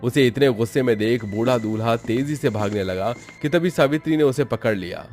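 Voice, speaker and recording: A man's voice: medium pitch, a little fast, darkish tone, faint music behind. A man narrating a scripted horror story for a cartoon.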